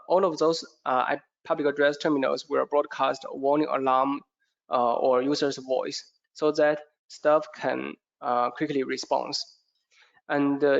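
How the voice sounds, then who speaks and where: A man giving a product training presentation in a hesitant, halting way, often repeating words, with a creaky voice. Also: mid-range voice. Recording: dull, muffled lo-fi audio.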